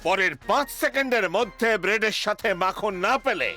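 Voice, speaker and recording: A man's voice: high voice, fairly quick, pushed and bright, soft background music. A man voicing a strict, pompous cartoon military major who barks commands like a drill officer. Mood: stern threatening. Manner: clipped drill commands, speaks of himself in third person. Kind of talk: animated cartoon voice acting.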